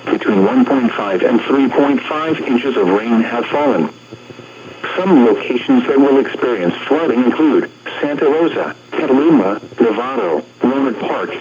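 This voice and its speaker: A man reading a scripted automated weather bulletin in a formal, measured, synthetic-sounding way, as a radio announcer. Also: mid-range voice, darkish tone. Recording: dull lo-fi radio broadcast with static noise.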